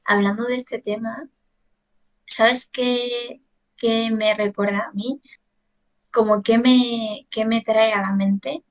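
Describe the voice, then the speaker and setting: A woman's voice: on the high side, steady tone, slow, pauses often. A young woman whose first language is Chinese, co-hosting a casual podcast and explaining ideas with examples. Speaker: podcast co-host, language teacher. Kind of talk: casual conversational chat.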